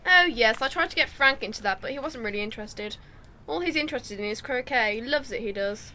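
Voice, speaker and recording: Woman playing a high, bright, genteel aunt. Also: animated, fairly quick. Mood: gushing, proud. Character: well-off, chatty, proud middle-aged aunt. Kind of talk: radio drama acting.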